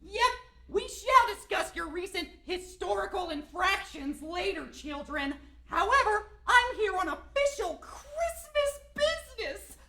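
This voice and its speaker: A woman's voice: extremely high pitch, sing-song, a little slow, sharp tone, exaggerated voice. A woman playing a tiny, chirpy and bossy Christmas elf with officious, formal speech in a radio drama. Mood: smugly stern. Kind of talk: amateur radio drama acting. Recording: roomy echo.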